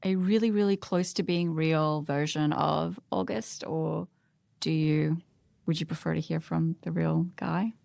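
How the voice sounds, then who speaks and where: Low-pitched, creaky-voiced woman podcast host. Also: steady tone, unhurried, a little mellow, no room sound. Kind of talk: conversational podcast discussion.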